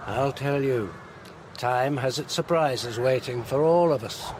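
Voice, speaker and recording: An actor playing an older, protective clergyman uncle in a radio drama, measured and proper, with an English accent. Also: mid-range voice, background noise, lightly acted. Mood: musing, avuncular.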